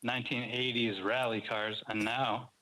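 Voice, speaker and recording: American male caller, blunt and profane, mid-pitched. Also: flat delivery, a little slow, sharp tone, low-quality recording. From United States. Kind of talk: casual call-in chat.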